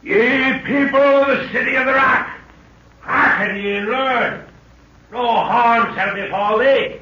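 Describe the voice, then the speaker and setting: A man's voice: very high-pitched, slow pace, background noise. An actor playing a solemn male herald of an ancient city in a 1930s radio drama, declaiming in archaic, ceremonious speech. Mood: exhorting. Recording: roomy, distant, dull old radio recording.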